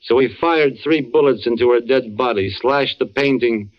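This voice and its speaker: An actor playing an earnest, somber man, a knowing family friend, with measured dramatic delivery in 1940s radio style. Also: medium pitch, lightly acted. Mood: grave. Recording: muffled old radio recording.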